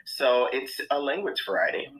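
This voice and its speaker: A man who studies language, explaining calmly in an interview with many pauses. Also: mid-range voice, low-quality recording. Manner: measured, thoughtful, with many ums. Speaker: language expert.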